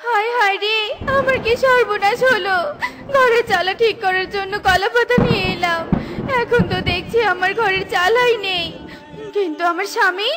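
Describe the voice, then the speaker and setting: A worried mother songbird voiced by a woman in a children's cartoon. The voice is extremely high and plaintive, full of melodrama. Music runs clearly behind it. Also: moderate pace. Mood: wailing, distressed. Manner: plaintive, lamenting. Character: worried, tearful mother tailorbird, devoted wife.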